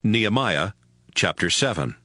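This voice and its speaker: A man's voice: lowish voice, lively intonation, measured pace, bright, forceful tone. A man announcing book and chapter headings in a scripted style.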